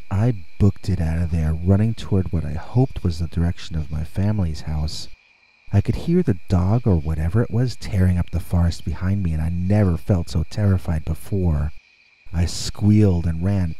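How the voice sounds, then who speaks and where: A man narrating a scripted first-person scary story as a show host, deliberate and suspenseful, with dramatic pauses and a husky voice. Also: deep voice, mellow, rough recording.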